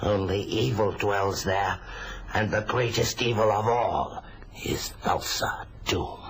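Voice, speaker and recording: An actor playing a wizened old hermit wizard, solemn and cryptic, in an audio drama. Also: male voice, on the low side, fairly bright tone, a little hiss or hum, low-quality recording. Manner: slow, incantatory storytelling.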